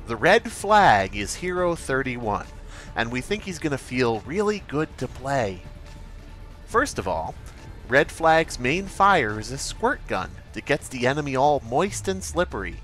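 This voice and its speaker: A man acts out an earnest game developer giving a parody update. He is hesitant and sheepish, oversharing in an awkward, creepy way. His low-to-middle voice moves around in pitch, with faint music underneath. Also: a bit bright.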